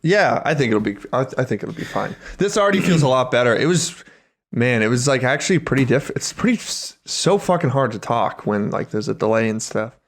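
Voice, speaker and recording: Male podcast co-host: average pitch, casual, halting, sweary. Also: expressive. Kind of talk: casual chat.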